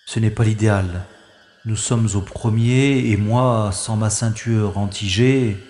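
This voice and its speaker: A man's voice: lowish voice, steady tone, slow, a little mellow. A man playing a cocky, wry space secret agent in a French science-fiction audio drama. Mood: tense, wry. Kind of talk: French sci-fi audio drama, first-person narration and dialogue. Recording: roomy sound with music behind.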